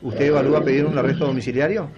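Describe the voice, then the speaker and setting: A man's voice: mid-range voice, steady tone, very fast, dull audio, crowd noise. An Argentine man hosting a live news programme, interviewing and presenting, with a habit of interjecting and repeating himself.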